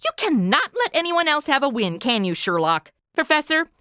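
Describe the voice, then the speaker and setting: Young American female sidekick, high and sharp, sassy, sarcastic, very expressive comedy delivery, dry close sound. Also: normal speed, no room sound, exaggerated voice. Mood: sassy, mocking. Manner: sassy, blunt, slangy. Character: brash, sarcastic young modern American woman, detective's sidekick. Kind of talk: comic audio drama acting.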